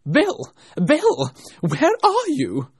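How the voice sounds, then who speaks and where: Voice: high voice, huge pitch swings, extremely slow, no echo, clean studio sound, pitched above the performer's own voice, heavily acted. A narrator voicing an angry, bossy male rabbit who scolds imperiously in a storybook reading.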